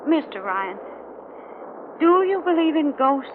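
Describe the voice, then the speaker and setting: A woman's voice: sits high, very animated, unhurried. A young woman playing an earnest, refined heiress in an old-time radio mystery. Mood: anxious, questioning. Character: earnest, anxious young heiress in a haunted mansion. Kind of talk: 1930s radio acting. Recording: muffled, noisy old radio recording.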